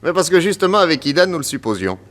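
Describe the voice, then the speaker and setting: An actor playing a confident, witty detective inspector with a French accent, emphatic and theatrical in 1960s radio drama style. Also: male voice, a little high, sing-song, fast, fairly bright tone. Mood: jovial. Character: confident, witty male detective inspector explaining his deductions.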